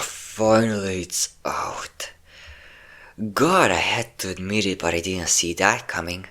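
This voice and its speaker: Man voicing an ancient male vampire, low pitch, possessive, obsessive, theatrical, menacing, romantic audio drama. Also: bright, forceful tone, heavily acted. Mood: bitter, angry. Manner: intense, theatrical, swinging between tender and threatening. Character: possessive obsessive five-hundred-year-old male vampire lover, menacing, volatile.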